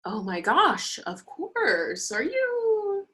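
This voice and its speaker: A woman reading the part of a chatty, naive young college roommate in a play, expressive and opinionated. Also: high-pitched, extremely slow, full character voice. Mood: bubbly, nosy. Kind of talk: virtual play reading, naturalistic dialogue.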